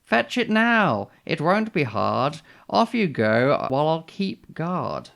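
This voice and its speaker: A man voicing a sly male bird in a storybook, talking in a slow, deliberate, condescending drawl of false kindness. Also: on the high side, big pitch swings, gravelly, fairly bright tone, heavily acted. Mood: sly persuasion.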